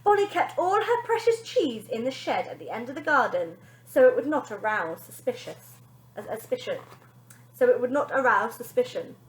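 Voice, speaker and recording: A woman reads a children's story aloud like a teacher, with great expression. Her fairly high voice rises and falls widely, and the room adds a clear echo. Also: big pitch swings, moderate pace. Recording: echoey room.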